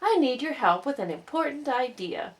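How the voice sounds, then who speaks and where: A woman's voice: high voice, very animated, normal speed, voice pushed up. A woman voicing a naive, good-natured toy bear in a storybook, simple and earnest.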